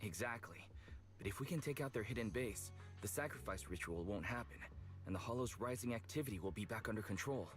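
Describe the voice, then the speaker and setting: A man's voice: lowish voice, brisk, faint music behind, lightly acted. A man playing a dutiful, analytical soldier in a video game, speaking in an orderly way. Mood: businesslike.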